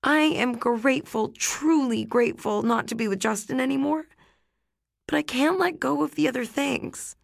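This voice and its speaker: A woman voicing a soft, tearful teenage girl. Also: high-pitched, moderate pace, creaky, no echo, clean studio sound, voice pushed up. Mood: bitter, upset. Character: gentle teenage girl, tender but resolute. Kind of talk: audiobook character voice.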